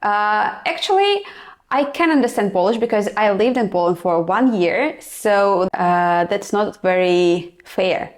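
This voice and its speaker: A woman with a Russian accent hosts a reaction video, talking in a chatty, hesitant way with many fillers. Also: mid-range voice. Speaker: reaction channel host. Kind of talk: casual reaction commentary.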